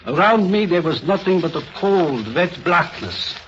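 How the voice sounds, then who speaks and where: A man playing a fearful watchmaker recounting a nightmare in an old-time radio drama, deliberate and dramatic. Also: high voice, very animated, fairly bright tone, exaggerated voice. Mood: haunted, tense. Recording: dull, slightly noisy old radio recording.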